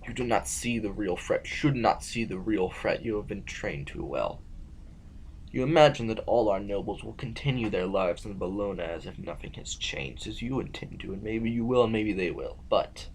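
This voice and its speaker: A man's voice: fairly low pitch, lively intonation, normal speed, slight background noise, rough recording. A man playing a severe, foreboding noble ruler in an audio drama, speaking formally and pompously. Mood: stern, foreboding.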